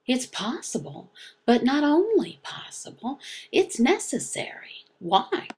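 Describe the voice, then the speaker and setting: Female religious explainer: slow, gravelly, emphatic and halting, with short repeated phrases. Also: sits high, sing-song, darkish tone. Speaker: teacher voicing a signed religious lesson. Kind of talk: slow explanatory religious teaching, question and answer.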